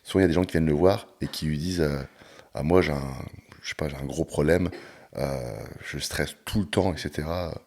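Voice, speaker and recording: A man hosting a casual podcast chat, talking in a relaxed way with frequent hesitations. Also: rumbling low, fairly quick. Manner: relaxed, talks with many hesitations. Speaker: podcast host and coach.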